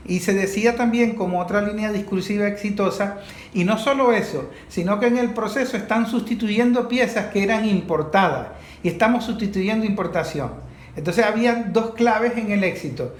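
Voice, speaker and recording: Venezuelan man, high voice, emphatic and repetitive in argument, recorded in an echoing room. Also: normal speed, a little hiss or hum. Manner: emphatic, builds points through repetition. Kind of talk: unscripted panel discussion. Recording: echoey room.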